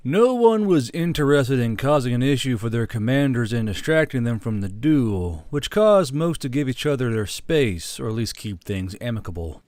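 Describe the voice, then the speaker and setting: A man's voice: medium pitch, animated, normal speed, few pauses, darkish tone, dry recording. A man reading a scripted fantasy war story as an audiobook narrator, close on the microphone.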